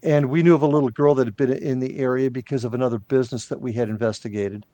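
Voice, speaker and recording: A man who leads a paranormal team, telling his story in an animated, punchy way. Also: mid-range voice, fast talker, no echo. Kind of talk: casual chat.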